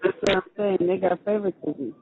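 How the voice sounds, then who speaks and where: A woman's voice: mid-range voice, a little fast, noisy background. A woman chatting casually with her partner over the phone in simple, agreeable everyday talk. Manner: brief, agreeing replies. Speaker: inmate's partner on a phone call. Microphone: telephone. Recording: muffled, band-limited recorded phone line.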